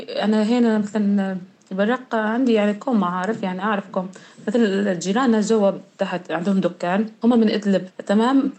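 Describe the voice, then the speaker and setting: A woman's voice: mid-range voice, a little mellow. A woman co-hosting a podcast, chatting casually and laughing often, with a Deir ez-Zor Euphrates Arabic accent. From eastern Syria. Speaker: podcast co-host. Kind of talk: casual chat.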